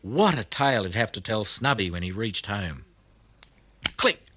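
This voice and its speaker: A man reading a children's audiobook as narrator, telling the story expressively with deliberate emphasis. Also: on the low side, animated, sharp tone, no room sound.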